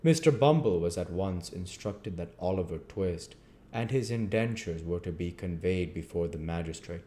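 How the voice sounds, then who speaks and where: Deep, mellow male story narrator. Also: lively intonation, moderate pace. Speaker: audiobook reader.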